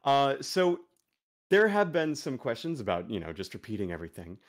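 Lively-voiced man hosting a fan panel. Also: mid-range voice, moderate pace. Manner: relaxed, joking, reads out fan questions. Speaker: panel host. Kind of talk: convention panel Q&A.

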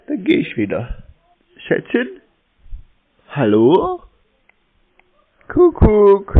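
A man handles a prank phone call with short, deadpan answers and a show of naivety. His pace is slow while his pitch moves around a lot. The sound is thin, phone-like and noisy. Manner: deadpan, terse, playing naive. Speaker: scambaiter taking scam calls. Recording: phone-like band, clearly noisy.

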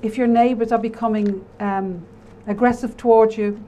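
Mellow-voiced Irish woman guest. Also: a little high, steady tone, normal speed, muffled recording, a little hiss or hum. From Ireland. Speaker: local authority guest. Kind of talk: studio discussion. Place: TV studio. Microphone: lapel mic.

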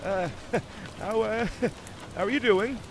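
A man voicing a lovestruck, bashful cartoon beaver who sweet-talks haltingly in a fan fiction reading. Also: high-pitched, slight background noise. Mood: shy, nervous. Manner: halting, stammering, sweet-talking.

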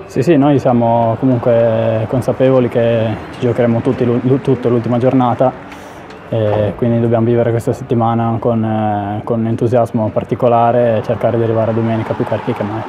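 A man's voice: medium pitch, flat delivery, brisk. A man in his twenties, a footballer answering questions in a post-match interview. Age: 20s. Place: indoor press area in front of sponsor backdrop. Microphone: handheld interview mic. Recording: slightly dull, noisy interview audio.

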